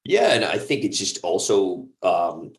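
A man with an extremely deep voice talks keenly as an interviewed creator. The sound is roomy and echoing, and he checks in with the listener as he explains. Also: sing-song, a little slow, creaky. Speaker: audio drama co-creator. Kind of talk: interview chat.

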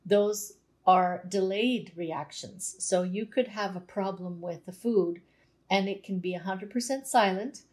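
A woman's voice: mid-range voice, a little slow, darkish tone. A Canadian woman, a naturopathic doctor, explaining nutrition in a conversational interview with everyday examples.

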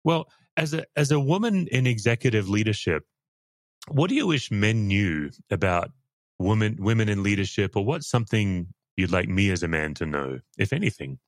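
Deep-voiced man interviewing casually. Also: animated, moderate pace, no echo, studio quality. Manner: rambling, self-deprecating, frequent restarts. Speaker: podcast host.

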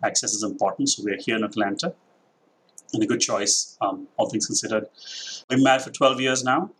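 A man in his forties from India with a blended Indian and international accent, speaking as a company president in a podcast interview, measured and thoughtful with frequent fillers. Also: fairly low pitch, steady tone, a bit bright, slightly rough, rough recording. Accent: Indian, with a mix of international influences.

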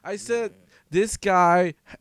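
Casual male podcaster, slightly high, dry close sound. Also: takes it slowly, gravelly, a bit bright, no room sound. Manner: informal, frequent swearing. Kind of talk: casual chat, retelling a news story.

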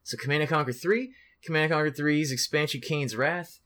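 A man co-hosting a podcast in casual, excitable chat about video games, with slangy, sweary talk. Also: fairly high pitch, brisk. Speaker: podcast co-host.

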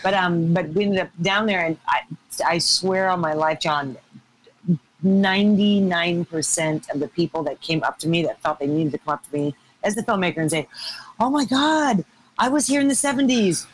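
A woman's voice: on the low side, moderate pace, slight background noise, low-quality recording. A woman in her fifties with an American accent, telling stories casually as a podcast guest. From Ohio, United States. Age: 50s. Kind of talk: casual conversational storytelling.

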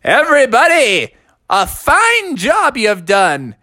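A man's voice: extremely high pitch, huge pitch swings, takes it slowly, bright, forceful tone, no echo, studio quality, pitched above the performer's own voice, full character voice. A man voicing a cheerful old country uncle bear, a farmer with a folksy, hearty drawl in a children's story. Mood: hearty, proud.

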